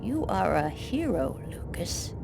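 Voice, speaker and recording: An eerie, otherworldly game figure in the guise of an old woman. She is low and gravelly, solemn and portentous, with breathy endings. Loud ominous music plays behind her. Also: big pitch swings, heavily acted. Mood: calm, grave. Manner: solemn, portentous, slow and deliberate. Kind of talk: video game voice acting. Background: loud scary music.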